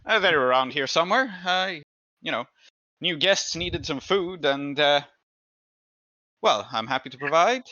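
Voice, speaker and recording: Brief: male game master plays cheeky, breezy female tabletop character, unbothered, raised pitch, forceful bright tone. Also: expressive, a little slow, pauses often, dry recording, dull audio. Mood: smug, cheerful. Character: breezy, cheeky woman tinkering with a radio.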